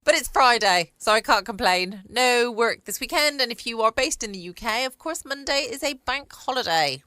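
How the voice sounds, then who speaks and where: A woman's voice: on the high side, animated, normal speed, sharp tone, dry recording. A woman hosting a bedtime podcast in a casual, musing, rambling way. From United Kingdom. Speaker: podcast host. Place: quiet room at home. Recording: close, dry home recording.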